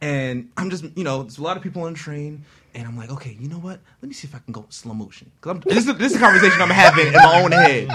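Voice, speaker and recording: Lively high-voiced man telling a story. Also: quick pace. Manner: animated, laughing storyteller. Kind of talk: casual storytelling chat. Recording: loud background noise.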